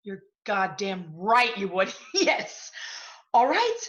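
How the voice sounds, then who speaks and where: A woman's voice: mid-range voice, sing-song, slow pace, fairly bright tone, highs cut off. A woman playing a brash, scheming, profane literary agency boss in a stage play. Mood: triumphant, scheming. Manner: pushy, profane, salesy. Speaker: stage actor. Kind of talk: theatrical one-act stage play acting.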